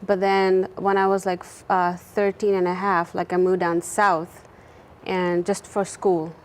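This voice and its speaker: A woman's voice: fairly low pitch, flat delivery, a little slow, a little hiss or hum. A young woman from India, a student guest chatting casually in an interview, with lots of "like" fillers and restarts. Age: young adult.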